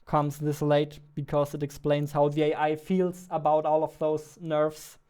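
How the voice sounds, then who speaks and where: A man, chatting casually on a livestream while he works, thinking aloud in a halting way. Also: a little high, completely flat delivery, warm tone, smooth. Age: 20s. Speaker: game developer and streamer. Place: indoor room at a computer, keyboard clicks behind.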